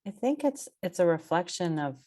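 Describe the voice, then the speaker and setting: A woman's voice: on the low side, sing-song, normal speed, mellow. A woman speaking as a guest in an online panel discussion.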